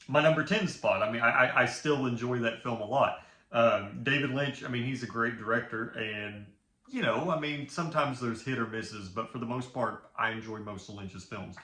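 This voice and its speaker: Bright-voiced man with a Southern drawl. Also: mid-range voice, echoey room. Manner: chatty and rambling, joking at his own expense. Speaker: film vlogger and filmmaker. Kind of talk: casual film-ranking vlog. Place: home office with the window open.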